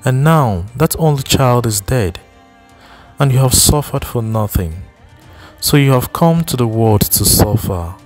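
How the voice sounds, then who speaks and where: A man voicing an older village woman who laments slowly and at length to a grieving mother in a storybook reading. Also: medium pitch, expressive, a little mellow, faint music behind, pitched above the performer's own voice. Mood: lamenting. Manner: slow, mournful, long-winded.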